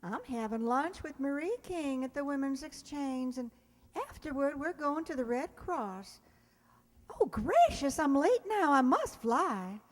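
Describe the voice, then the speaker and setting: A genteel, bossy sweetheart, acted by a woman in an old radio comedy. Her voice is high and very animated, fluttery and coquettish, with long hall reverb around it. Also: unhurried. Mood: hurried, breezy. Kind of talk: 1940s radio comedy acting.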